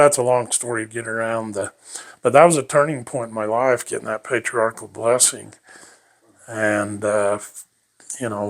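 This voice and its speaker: Older male interviewee, low-ish voice, rambling folksy storyteller who chuckles often. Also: gravelly. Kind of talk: reminiscing life story.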